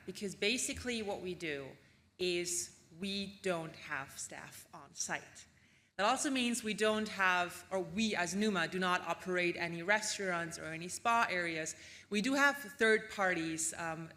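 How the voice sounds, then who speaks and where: Bright-voiced woman giving a keynote. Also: on the low side. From Austria. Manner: casual, chatty delivery with frequent ums and tag questions. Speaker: keynote speaker. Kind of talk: conference keynote presentation.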